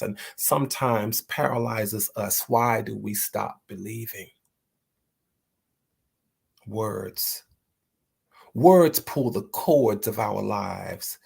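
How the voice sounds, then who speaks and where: A man's voice: lowish voice, vocal fry, slightly rough. Earnest man reciting his own poetry. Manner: earnest, encouraging, repeats words while searching for them; slow, measured recital when reading the poem. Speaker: poet and channel host. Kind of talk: talk to viewers, then poetry reading.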